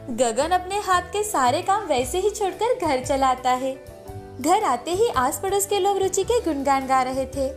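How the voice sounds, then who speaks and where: A woman's voice: high voice, animated, unhurried, soft background music. A woman narrator reading a scripted moral story for children.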